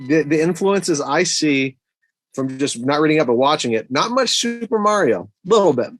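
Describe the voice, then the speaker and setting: A man's voice: a little high, lively intonation, normal speed, dry recording. A man co-hosting a podcast, chatting casually and giving his opinions on films. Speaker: podcast co-host. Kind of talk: casual film discussion.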